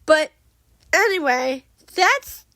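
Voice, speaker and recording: A high-pitched woman talks through a casual solo podcast recap, a bit sniffly as she gets over a cold. She rambles along with plenty of fillers and restarts. The sound is dry and close, with no room around it. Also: animated, takes it slowly, bright, forceful tone, no room sound. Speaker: podcast host.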